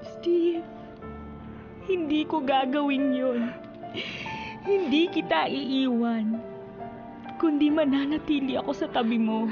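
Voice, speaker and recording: An actress playing a devoted, long-suffering, heartbroken wife in a Filipino radio melodrama, with a Filipino accent and an earnest, emotional delivery. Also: female voice, sits high, takes it slowly, pauses often, highs cut off. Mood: resolute, tender. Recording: music under the dialogue.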